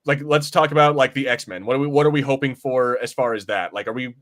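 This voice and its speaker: A man's voice: medium pitch, quick pace, fairly bright tone. A man co-hosting a podcast, discussing films and comics in a casual, rambling way with some swearing. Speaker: podcast co-host.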